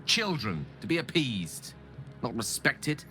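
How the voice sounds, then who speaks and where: A man's voice: mid-range voice, sing-song, slow. A man playing an eloquent, ambitious and embittered wizard in a video game, speaking with earnest, theatrical and formal articulation. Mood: bitter, indignant. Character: ambitious, eloquent, embittered male wizard pleading his case. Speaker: video game companion character. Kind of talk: dramatic game dialogue acting. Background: background music.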